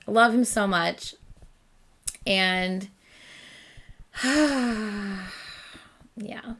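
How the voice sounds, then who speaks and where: A young woman hosts a late-night reaction stream, talking easily with her viewers and laughing often. Her voice sits at a medium pitch and is starting to go, worn from hours of talking. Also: creaky. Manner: chatty, laughs often, answers chat. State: losing her voice. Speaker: reaction channel host. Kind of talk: casual livestream chat with viewers.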